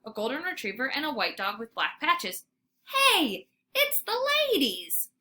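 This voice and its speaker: Storybook dog played by a woman: young, excitable, dramatic, pleading, with big animated swings in pitch. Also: a little slow, full character voice. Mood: excited. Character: eager, excitable, needy young male pet dog.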